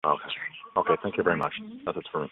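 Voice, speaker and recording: A man's voice: extremely low pitch, fairly even intonation, very fast, slightly rough. A man, a financial analyst, politely asking questions on an earnings call. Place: conference call. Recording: dry, tinny phone line.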